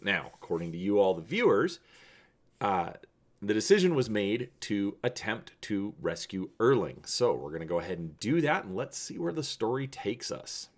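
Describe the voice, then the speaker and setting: A man presenting as a channel host and narrating an adventure story with expressive, theatrical emphasis. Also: lowish voice, moderate pace. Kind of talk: dramatic storybook reading and presenting.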